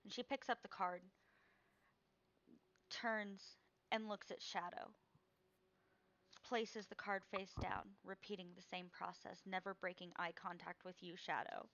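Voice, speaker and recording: A woman game master narrating a tabletop fantasy game in a measured, hushed descriptive way, on a slightly dull microphone. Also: medium pitch, fairly even intonation, creaky.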